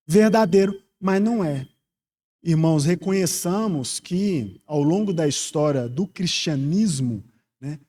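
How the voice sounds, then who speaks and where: A Brazilian man teaches scripture as a preacher. His voice sits high for a man and has a soft, mellow tone. He speaks earnestly and reflectively, often in rhetorical questions. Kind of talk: expository Bible teaching sermon.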